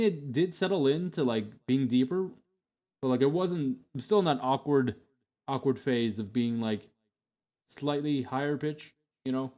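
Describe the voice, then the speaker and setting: A man's voice: medium pitch, dark tone. A young adult man streaming, chatting casually with lots of fillers and restarts as he looks back on old videos. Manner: rambling, many fillers and restarts.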